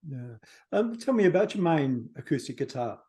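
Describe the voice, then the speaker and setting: A man's voice: a little high, big pitch swings, moderate pace, mellow. A man asking casual interview questions as a music magazine editor on a video call.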